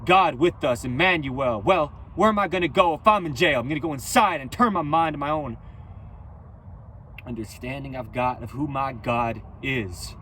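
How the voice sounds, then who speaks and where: A man delivering a rhythmic, rhyming spoken-word freestyle with a preachy, emphatic and loud delivery. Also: medium pitch, moderate pace, a little hiss or hum. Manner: loud, near-yelling delivery.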